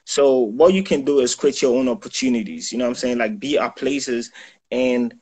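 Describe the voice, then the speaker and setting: A man's voice: medium pitch, normal speed, a little mellow, slightly rough. A young man from Ghana, a self-taught photographer and videographer, talking casually on a video call and peppering his speech with "yo" and "you know what I'm saying". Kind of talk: casual interview chat. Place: indoors, small room.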